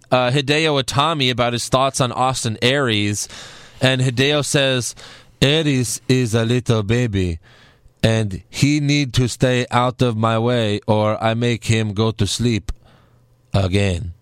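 A man with an ordinary middle-pitched voice runs through wrestling results in a loose, wry way, cracking jokes and cutting in on his co-host. The recording is close and dry, with almost no room sound. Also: unhurried, fairly bright tone, clean tone, no room sound. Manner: wry, joking, talks over partner. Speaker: podcast co-host. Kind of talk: casual wrestling recap chat.

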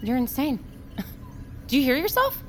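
A woman's voice: high voice, sing-song, a little slow, a little hiss or hum. An actress playing a blunt, incredulous woman who challenges the others in an audio fiction drama. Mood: exasperated. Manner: confrontational, exasperated.

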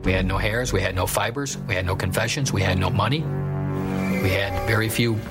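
A man's voice: low-pitched, fairly quick. A man giving a documentary interview as an investigator, speaking in a matter-of-fact, clipped way. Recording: interview under loud music bed.

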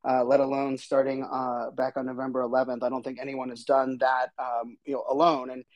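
A middle-aged man with a slightly hoarse voice, hosting a podcast in casual, rambling talk with lots of fillers. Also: mid-range voice, little pitch movement, fairly quick, no echo, lo-fi sound. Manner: rambling, chatty, many fillers. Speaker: podcast host.

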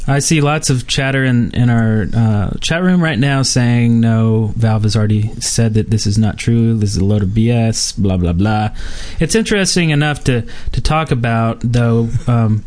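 A man's voice: mid-range voice, normal speed, hardly any pauses, a little mellow. A man hosting a casual gaming podcast, talking loosely with lots of fillers and restarts. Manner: rambling, many fillers and restarts. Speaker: podcast host.

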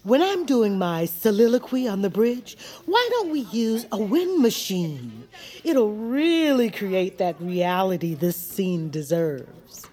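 A woman's voice: medium pitch, very animated, slow pace, mellow. A woman voicing a vain, dreamy, genteel performer in an audio cartoon, airy and self-pleased. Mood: airily pleased. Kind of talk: audio fiction cartoon acting.

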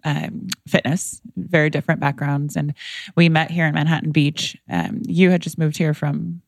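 A woman from Indiana, a fitness entrepreneur guest in a casual podcast chat, relaxed and laughing between phrases. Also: low voice, normal speed, creaky, no echo, studio quality.

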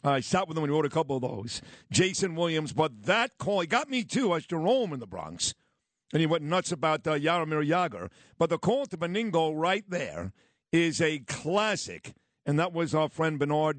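A male radio host in the studio, a lively storyteller introducing his guest and reminiscing. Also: fairly high pitch, moderate pace, no room sound. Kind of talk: morning radio talk.

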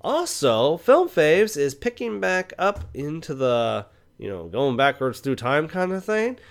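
A man's voice: a little high, sing-song, unhurried. A man hosting a film review podcast in casual conversation, often checking in with short questions. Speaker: podcast host.

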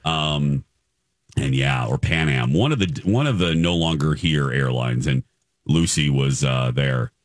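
A man's voice: rumbling low, expressive, a little slow, dry recording, studio quality. An American man hosting a morning radio show with casual, playful, chatty banter.

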